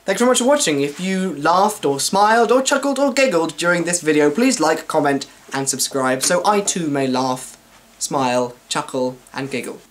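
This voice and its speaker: British guy vlogging, wry scripted comedy bit, wordy, really animated up and down pitch. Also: normal speed. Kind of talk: scripted comic monologue to viewers.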